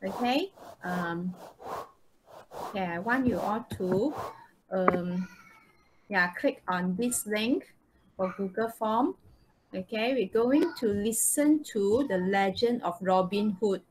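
A woman's voice: medium pitch, takes it slowly, pauses often, a little mellow. A woman with a Malaysian accent teaching a live online English lesson, explaining step by step and checking understanding. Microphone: video call microphone. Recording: noisy online video call.

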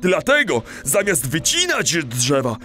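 High-voiced, animated cartoonish animal teacher. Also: male voice, measured pace, faint music behind, full character voice. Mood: earnest, proud. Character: kindly adult male animal teacher, encouraging father. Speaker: teacher leading a children's lesson. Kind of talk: children's audio play acting.

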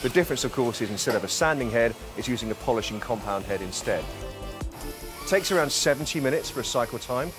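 A man in his forties leading a tour of a large factory floor, explaining each production step in a calm, clear way. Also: mid-range voice, fast. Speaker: factory guide. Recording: music bed under voice.

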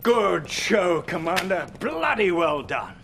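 High-voiced elderly British field marshal. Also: male voice, big pitch swings, slow pace, gravelly, a bit bright, slight background noise, heavily acted. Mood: commanding. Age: 60s. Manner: urgent, dramatic, military formality.

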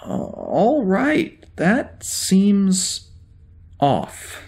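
Man acting a hesitant, jittery spaceship officer, swinging pitch. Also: huge pitch swings, darkish tone, heavily acted. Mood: unsettled, hesitant. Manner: hesitant, halting, muttering to himself. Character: anxious, confused amnesiac spaceship security officer recording a log. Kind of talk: science-fiction audio drama acting.

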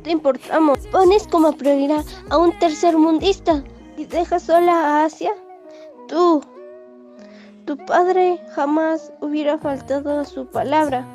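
A woman's voice: high voice, steady tone, unhurried, a little mellow, soft background music. A woman voicing a bossy, possessive, prim young Chinese woman in a fan-dubbed comic. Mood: hurt, reproachful. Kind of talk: fandub comic voice acting.

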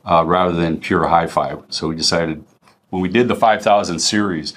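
A man's voice: low voice, steady tone, normal speed, fairly bright tone. A middle-aged man giving an informal product explanation in a conversational way, as a company representative. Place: small indoor demo room.

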